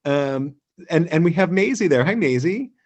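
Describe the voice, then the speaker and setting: A man hosting an informal online event over a video call, welcoming people in a halting, conversational way. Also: fairly high pitch, expressive, a little mellow. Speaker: host.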